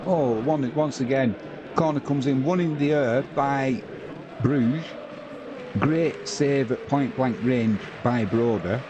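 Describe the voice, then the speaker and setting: A man from England giving relaxed play-by-play of a football match and chatting with viewers on a live stream. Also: medium pitch, slow, muffled recording, background noise. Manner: conversational play-by-play, reading out viewer comments. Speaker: watch-along commentator.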